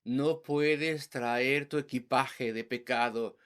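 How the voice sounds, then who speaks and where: A man's voice: mid-range voice, steady tone, slow pace, a bit bright, smooth. A man, not a native Spanish speaker, preaching an evangelistic sermon with rhetorical questions and repeated emphatic phrases. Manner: voices imagined worldly people. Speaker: pastor.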